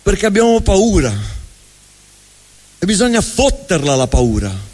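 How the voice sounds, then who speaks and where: A man performing a theatrical spoken monologue on stage, with emphatic, rhetorical delivery and deliberate repetition. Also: high voice, very animated, darkish tone. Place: live stage in a reverberant venue. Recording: reverberant hall, live stage sound.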